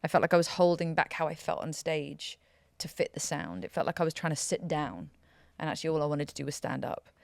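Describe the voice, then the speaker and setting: Woman, podcast guest. Low, chatty storyteller, dry close recording. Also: a little fast, vocal fry, hardly any pauses, dry recording. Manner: chatty, laughing, animated storytelling. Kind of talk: casual interview chat.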